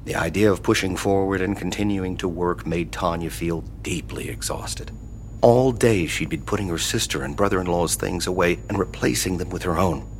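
A man's voice: sits low, moderate pace, slight background noise. A man giving somber scripted narration as the storyteller of a horror audio drama. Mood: somber, measured. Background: music.

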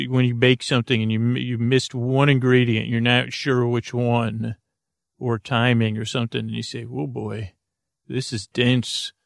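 A man with a low, gravelly voice rambles through a bedtime monologue, stumbling over restarts and fillers as he jokes gently. His voice sits close and dry, with no room sound around it. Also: no echo. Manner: halting, full of fillers and restarts, gently joking. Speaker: sleep podcast host.

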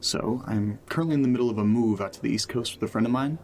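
A man's voice: medium pitch, quick pace, darkish tone, lightly acted. An actor playing a casual, self-mocking young man recording an audio diary in a naturalistic found-footage drama. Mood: awkward, casual. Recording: faint background noise, ambience.

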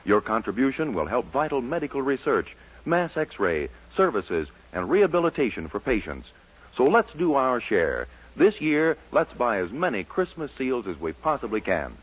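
A man's voice: mid-range voice, big pitch swings, moderate pace, breathy edge. An American man announcing on a 1940s radio show with polished, emphatic scripted salesmanship. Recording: muffled 1940s radio broadcast recording.

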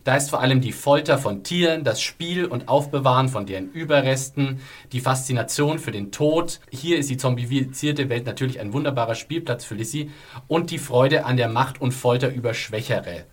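A man moderating a series podcast, reading listener comments and steering the talk with quick jokes. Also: medium pitch. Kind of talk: scripted review narration and casual podcast chat.

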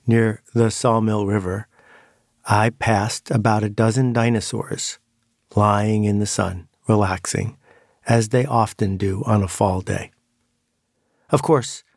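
Male podcast host, gravelly, dry studio sound, reflective monologue. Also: on the low side, steady tone, measured pace, no room sound.

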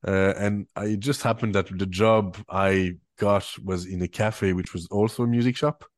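A man's voice: on the low side, steady tone, normal speed, dry recording, clean studio sound. A man from French-speaking Switzerland with a French Swiss accent, a musician chatting in an interview, full of fillers and restarts. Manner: hesitant, many fillers and restarts. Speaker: musician and guest. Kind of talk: relaxed interview chat.

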